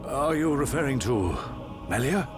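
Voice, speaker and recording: An actor playing a solemn, commanding older emperor in an animated game story, grave and deliberate. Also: male voice, medium pitch, over music.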